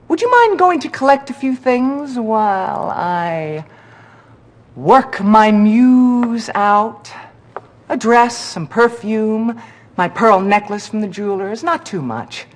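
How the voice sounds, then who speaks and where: Casting: affected, lovestruck art teacher for a game, unhurried, sweeping intonation. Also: female voice, mid-range voice, expressive. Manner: breezy, affected, theatrical. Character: dreamy, flirtatious, lovestruck woman art teacher. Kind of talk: video game cutscene acting.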